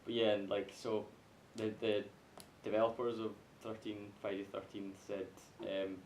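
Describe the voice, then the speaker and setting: A man's voice: flat delivery, moderate pace, a little mellow. A man with a Scottish accent talking casually in a group podcast discussion. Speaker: podcast panelist. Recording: echoey room with faint rumbling noise.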